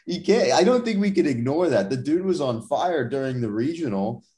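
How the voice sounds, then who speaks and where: A man's voice: a little high, sing-song, normal speed, warm tone. A young man co-hosting a sports podcast, chatting casually with dry, wry remarks. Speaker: podcast co-host.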